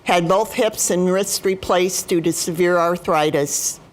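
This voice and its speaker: An American woman in her seventies giving prepared personal testimony as a speaker, in a formal, deliberate and emphatic manner. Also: fairly low pitch. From United States, Midwest. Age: 70s. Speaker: union retiree chapter president, guest speaker.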